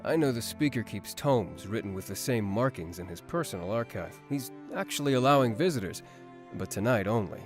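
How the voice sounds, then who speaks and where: A man's voice: mid-range voice, expressive, brisk, close to an everyday voice. An actor playing a teasing, smug, mysterious man in his twenties in a visual novel, with music behind him. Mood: cool baiting. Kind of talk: visual novel character acting. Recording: background music under voice.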